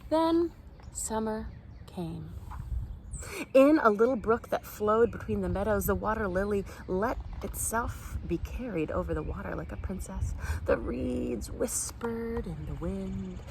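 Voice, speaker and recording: A woman reading a children's picture book aloud in an expressive, animated storytime style. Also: medium pitch, unhurried, a little hiss or hum, rough recording. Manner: animated, acts out the characters' lines. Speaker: storytime reader.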